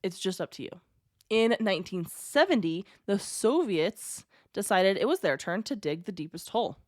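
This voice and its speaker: A chatty woman hosts a casual podcast and keeps drifting into asides and wry jokes. Her voice sits at a middle pitch for a woman. The sound is dry and close, with no room around it. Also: animated, moderate pace, darkish tone, no echo. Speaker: podcast host.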